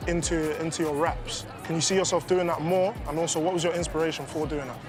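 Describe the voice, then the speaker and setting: A man's voice: a little high, fairly even intonation, fast. A young man, a Welsh rapper, asking interview questions in a laid-back, friendly way with some slang. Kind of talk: casual interview. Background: music and a crowd at an outdoor festival.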